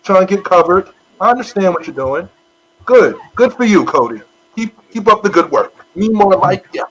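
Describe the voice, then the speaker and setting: A man co-hosting a casual wrestling podcast, talking in an animated way and addressing wrestlers directly. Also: sits high, normal speed, poor audio. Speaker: podcast co-host. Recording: faint background noise.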